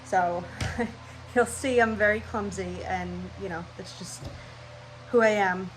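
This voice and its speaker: A woman's voice: medium pitch, fairly even intonation, slow pace, faint music behind, poor audio. A young woman with a stuffy, nasal voice, rambling casually through her channel intro at home. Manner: scatterbrained, rambling, chatty. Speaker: vlogger.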